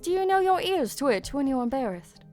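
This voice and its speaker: A woman's voice: high-pitched, sing-song, normal speed, a little mellow. A woman voicing a sly, teasing, threatening law officer in a game drama fan dub. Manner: taunting, assertive. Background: background music.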